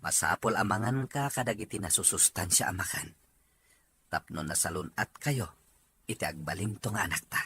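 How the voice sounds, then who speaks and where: A man's voice: fairly low pitch, normal speed, sharp tone, breathy edge, exaggerated voice. A man playing a concerned, attentive young husband with a Filipino accent in an Ilocano audio drama. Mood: caring.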